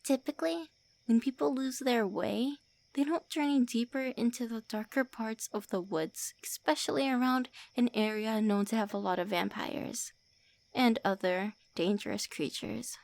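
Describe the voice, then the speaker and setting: A woman voicing a lonely, prickly female vampire who is centuries old, in an ASMR roleplay, often speaking softly or in whispers. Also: a little high, normal speed, creaky, no echo. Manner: tsundere, sharp then wistful.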